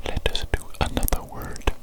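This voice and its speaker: Woman playing a whispering mad evil doctor. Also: natural voice. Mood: pleased, instructive. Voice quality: breathy, unvoiced whisper. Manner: slow, repetitive, formal old-fashioned phrasing. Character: evil mad doctor doting on the creature they created. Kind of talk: whispered ASMR medical roleplay.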